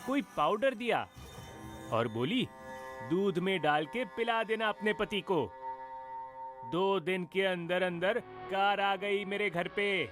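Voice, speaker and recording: A man playing a cocky, gossipy young college friend in an animated story. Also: high-pitched, slow pace, over music. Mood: confiding.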